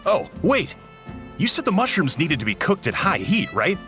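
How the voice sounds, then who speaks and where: A man playing an eager, friendly and helpful tribesman in a video game, with expressive delivery. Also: fairly high pitch, normal speed, soft background music.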